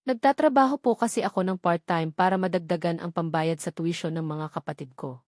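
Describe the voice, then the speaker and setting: A woman's voice: fairly low pitch, a little fast, darkish tone, no room sound, studio quality, voice pushed down, natural voice. A woman narrator voicing a proud, stubborn young breadwinner, polite but firm, in an audio storybook. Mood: shy, weary. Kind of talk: audio storybook character dialogue.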